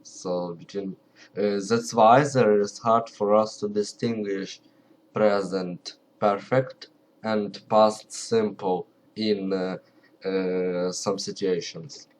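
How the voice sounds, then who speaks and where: A man with a Ukrainian accent, English not his first language, talking quietly and carefully to camera and often pausing to search for words. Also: lowish voice, fairly even intonation, a little hiss or hum, low-quality recording. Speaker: video creator. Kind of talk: casual talk to camera.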